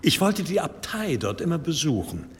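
A man playing a courteous, unctuous monastery abbot with quiet authority in a radio drama, formal, measured and gently insistent. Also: on the high side, sing-song, in a large hall. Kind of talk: German radio drama acting.

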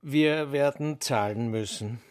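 An actor playing a brazen, smooth-talking salesman and flirt in a radio drama, insolent and mock-courteous. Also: mid-range voice, takes it slowly, darkish tone, natural voice. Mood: pushy, suggestive.

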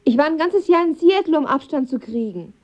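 An actress playing a guarded, shy and vulnerable young woman in an intimate radio drama, with a hesitant, hushed delivery. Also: high voice, expressive, fairly quick, muffled recording. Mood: hurt, upset. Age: young adult.

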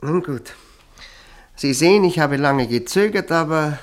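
An actor playing a modest, courteous middle-aged lawyer in a radio drama, speaking in a hesitant, deliberate and polite way. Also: male voice, fairly high pitch, expressive. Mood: hesitant, wry.

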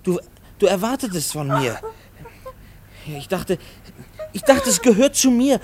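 Anxious husband, very animated pitch. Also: male voice, measured pace, heavily acted. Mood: distraught, pleading. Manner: stammering, pleading, broken phrases. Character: anxious, conscience-stricken young husband, a railway man. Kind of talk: German radio play acting.

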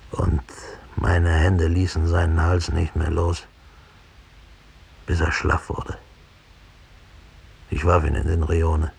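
An actor playing a cold, embittered middle-aged German killer who slowly and broodingly confesses in a 1960s radio play. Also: male voice, low voice, gravelly, a little hiss or hum. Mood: grimly reliving. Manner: slow, deliberate, brooding storytelling.

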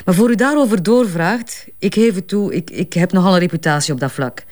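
An actress with a Flemish accent playing a brisk, candid advertising strategist who gets defensive under police questioning, in a naturalistic crime drama. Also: female voice, fairly low pitch, a little mellow, natural voice. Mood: defiant, frank.

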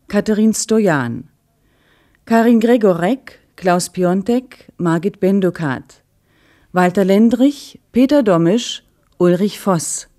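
Woman, radio play announcer, neutral scripted reading, medium pitch, mellow tone, unhurried.

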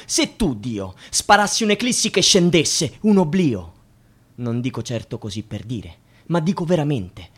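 An actor playing a bitter, defiant young student in a poetic radio theatre monologue, delivered in halting, declamatory phrases. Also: male voice, fairly high pitch. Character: anguished young student, bitter and defiant about precarious work. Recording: music under the voice. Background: music.

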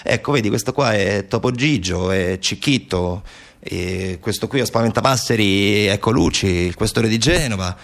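A man's voice: fairly low pitch, normal speed, close to an everyday voice. A man playing an eccentric police detective, explaining himself in a hesitant, matter-of-fact way in a one-man stage piece. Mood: earnest, sheepish.

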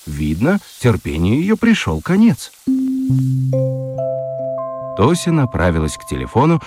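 A man narrating a children's radio play with expressive storytelling. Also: fairly low pitch.

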